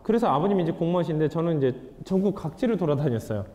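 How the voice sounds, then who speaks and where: A man's voice: on the high side, animated, racing, mellow. A young adult man giving a lecture, asking the audience questions in a candid, conversational way. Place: lecture hall. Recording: hall reverb.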